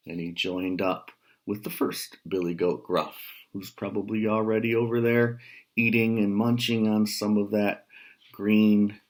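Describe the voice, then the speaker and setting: Slow, low-voiced American man reading to children. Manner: measured, explanatory asides. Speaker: storyteller, writer. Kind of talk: children's picture book read-aloud with casual asides. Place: small room at home.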